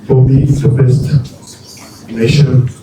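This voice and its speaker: A middle-aged man with a French accent, a new football coach speaking at a press unveiling in halting English, restarting words and using short plain sentences. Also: medium pitch, level tone, mellow, slight background noise. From France and Mali. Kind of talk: formal speech at a press unveiling. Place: press conference hall. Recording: echoey room, far from the mic.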